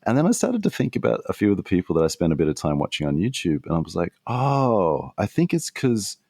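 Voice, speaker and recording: Very deep-voiced reflective man. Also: big pitch swings, fast, darkish tone, breathy edge, no room sound, studio quality. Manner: thoughtful and rambling, many fillers and restarts. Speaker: podcast guest, podcaster and former radio host. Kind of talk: casual reflective conversation.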